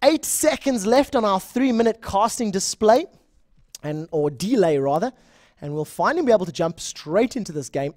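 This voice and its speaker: A man's voice: high voice, very animated, moderate pace, a little mellow, no room sound, clean studio sound. A young man with a South African accent casting a live online game, talking in a chatty, rambling way, correcting himself and greeting viewers from the chat. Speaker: esports shoutcaster.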